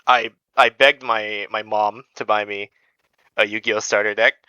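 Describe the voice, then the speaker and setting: A young man from Indonesia chatting casually as an interview guest, hesitant and modest, with a clear, smooth voice. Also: on the low side, fairly even intonation, a little slow, pushed and bright, no room sound, highs cut off. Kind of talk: casual chat.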